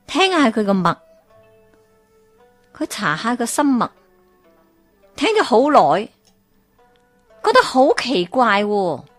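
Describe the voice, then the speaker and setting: A woman storyteller narrating a folk tale in an animated way, with exclamations and character voices. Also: fairly high pitch, no echo.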